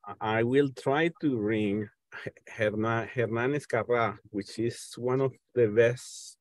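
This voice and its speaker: A middle-aged man from Venezuela with a Venezuelan Spanish accent speaks haltingly on a video call, repeating words as he hosts an informal political discussion. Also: mid-range voice, fairly even intonation, no room sound. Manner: halting, stammering repetitions as he searches for words. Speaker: host of an online panel discussion. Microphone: earphones on a video call.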